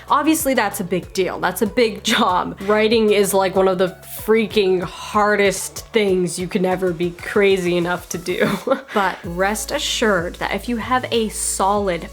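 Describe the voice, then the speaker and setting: A young woman giving lively writing advice to the camera from her room at home, laughing often and sounding a little hoarse. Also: medium pitch. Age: young adult. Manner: animated, laughs often, gestures a lot. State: slightly hoarse, losing her voice. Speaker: writing vlogger. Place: home room with bookshelves, indoors. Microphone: camera mic, head-and-shoulders framing.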